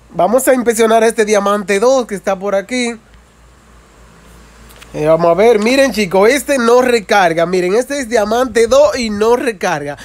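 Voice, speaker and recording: A man narrating a casual mobile game tutorial, explaining step by step with frequent repetition and informal asides to viewers. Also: sits high. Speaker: gaming video creator.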